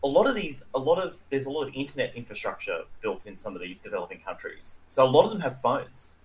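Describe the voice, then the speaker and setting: A man's voice: medium pitch, sing-song, quick pace, a crowd in the background, poor audio. A man co-hosting a podcast, casually explaining his views in conversation. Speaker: podcast co-host.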